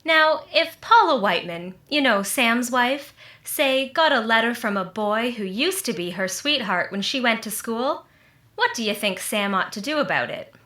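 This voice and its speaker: A woman performs a confident, chummy sitcom friend with a bright, high voice. Her delivery is emphatic and measured, in old-time radio comedy style with a roomy echo. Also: expressive. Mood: confident, coaxing. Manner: confident, emphatic, cheerfully insistent. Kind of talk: re-enacted 1940s radio comedy and advert acting. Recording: roomy, echoing voice recording.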